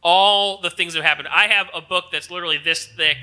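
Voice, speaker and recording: Stammering man, high and very bright. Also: steady tone, brisk, clear, resonant tone, poor audio. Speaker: co-host of a local comedy show. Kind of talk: casual unscripted banter.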